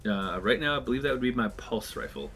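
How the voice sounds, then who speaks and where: A man's voice: mid-range voice, animated, quick pace, fairly bright tone, soft background music. A man playing a tabletop game, talking through his rolls and giving curt orders in character as a captain. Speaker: player.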